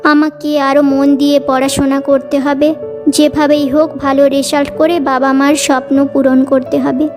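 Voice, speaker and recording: High, even-voiced dutiful teenage girl played by a woman. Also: normal speed, music in the background, natural voice. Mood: earnest. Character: studious, dutiful teenage village daughter. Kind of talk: cartoon story dialogue.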